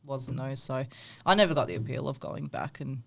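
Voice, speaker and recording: A man's voice: mid-range voice, brisk, gravelly, a bit bright, slight background noise. A man, a tattoo artist guest, chatting casually and swearing freely, laughing often. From Australia. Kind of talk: casual podcast chat.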